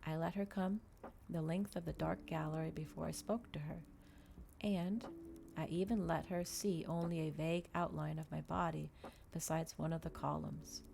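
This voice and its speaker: A woman reading a novel aloud in a soft, measured, hushed ASMR style. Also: deep voice, fairly even intonation, gravelly.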